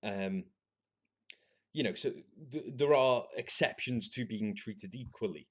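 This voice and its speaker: A man, possibly, hosting a solo podcast and thinking out loud in a halting, earnest way, with frequent restarts and filler words. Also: lowish voice. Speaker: podcast host. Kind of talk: solo opinion monologue.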